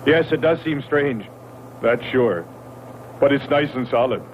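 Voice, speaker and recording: A man's voice: mid-range voice, unhurried, lo-fi sound, natural voice. An actor playing a practical male spaceship commander in a 1960s science fiction film, giving clipped, authoritative orders. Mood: businesslike. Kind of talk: 1960s sci-fi film acting. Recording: thin band-limited old film soundtrack, faint noise.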